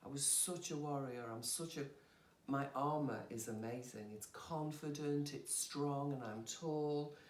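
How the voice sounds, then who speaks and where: A woman's voice: very deep voice, a little slow, a little hiss or hum. A woman, a yoga teacher, talking candidly and expressively about her own healing and laughing at herself. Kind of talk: candid personal conversation. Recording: roomy sound from a distant mic.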